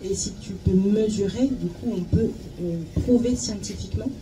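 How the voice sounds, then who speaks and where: A woman's voice: mid-range voice, normal speed, music in the background, low-quality recording. A woman interpreting informally phrase by phrase, hesitant, searching for words and repeating herself. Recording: clear background noise with chirping insects.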